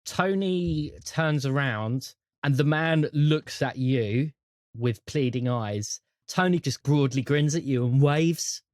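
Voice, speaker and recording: A game master narrating a tabletop roleplaying game in measured, descriptive, halting phrases. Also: mid-range voice, darkish tone, no echo, clean studio sound.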